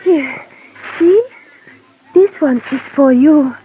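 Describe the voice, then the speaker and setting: An actress playing a gentle, anxious young island woman who speaks simple broken English in an old-time radio drama. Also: high voice, drawn-out words. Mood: earnest. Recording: muffled, noisy old radio recording.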